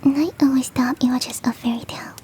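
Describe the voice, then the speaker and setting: A woman voicing a big, sweet, doting female yeti in a soft, breathy, gently coaxing whisper, as ASMR roleplay. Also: high-pitched, fairly even intonation, fast, slight background noise, natural voice. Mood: wistful. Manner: gentle, coaxing, affectionate, self-deprecating.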